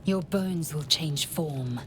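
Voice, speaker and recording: A woman's voice: low-pitched, slow pace, soft background music. A woman playing a stern, haughty alien warrior in a fantasy game, formal and severe in delivery. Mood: grim. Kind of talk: fantasy video game acting.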